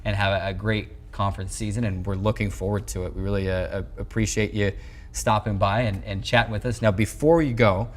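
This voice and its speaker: A man's voice: lowish voice, little pitch movement, a little fast. An American man in his twenties hosting a sports show, presenting in a sportscast style. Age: 20s. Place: indoor TV studio sets. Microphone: lapel mic.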